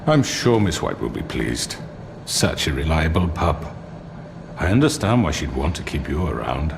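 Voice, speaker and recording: Smug polite game villain, really swooping intonation, super deep, talks down to everyone. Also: male voice, sing-song, moderate pace, big-room sound, background noise, exaggerated voice. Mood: smugly mocking. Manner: formal, condescending, smoothly evasive. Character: smug, genteel male crime boss villain on trial. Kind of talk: dramatic video game acting.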